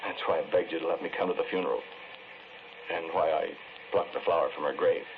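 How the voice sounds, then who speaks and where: An actor playing a sombre, earnest confessed killer in a 1940s radio drama, speaking in a measured, hesitant, brooding way. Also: male voice, sits low, steady tone. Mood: remorseful, resolute. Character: sombre, earnest man confessing to killing the woman he loved. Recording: noisy, muffled 1940s radio recording.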